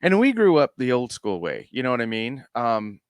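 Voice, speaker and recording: A man's voice: medium pitch, huge pitch swings, moderate pace, smooth, dry recording, studio quality. A middle-aged man from the United States co-hosting a podcast, rambling in casual chat and often asking "you know what I mean". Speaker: podcast co-host.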